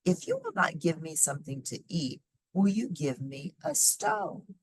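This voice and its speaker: A hungry young man from a storybook, played by a woman reader, polite and sly. He coaxes with assurance in a husky, lively voice. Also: lowish voice, measured pace, no echo, heavily acted. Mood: pleading.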